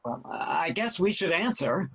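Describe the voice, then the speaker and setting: A man's voice: on the high side, big pitch swings, measured pace, a bit bright, breathy edge, muffled recording, heavily acted. A man playing a bemused, wry middle-aged father in a comic play read aloud. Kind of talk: table-read stage comedy acting.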